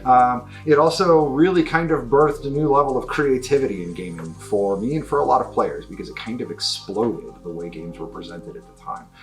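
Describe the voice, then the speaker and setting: A man's voice: mid-range voice, moderate pace, hardly any pauses, faint music behind. A middle-aged man giving a casual, enthusiastic and discursive review of new tabletop games as a store presenter. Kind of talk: casual product review talk to camera.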